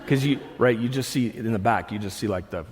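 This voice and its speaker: A man in his thirties, a pastor preaching a sermon to a room, conversational with asides, swinging from loud bursts to hushed lines. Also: on the low side, brisk. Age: 30s. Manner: conversational with frequent restarts and asides. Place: church hall with an audience. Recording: long reverb of a large hall.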